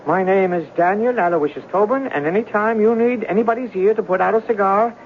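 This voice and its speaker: An actor playing a hot-tempered, lovesick Irishman in a 1970s radio drama, theatrical and quick to take offence. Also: high voice. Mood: taken aback. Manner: excitable, theatrical, indignant. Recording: dull, hissy 1970s radio broadcast recording.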